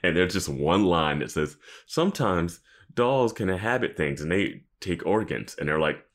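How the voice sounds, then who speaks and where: A man with a very deep voice chats as a podcast co-host. His intonation moves around a lot as he recaps in an incredulous, animated way. He often slips into acting out what people might have said. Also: normal speed. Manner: animated, incredulous, acts out imagined lines. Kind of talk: casual TV recap chat.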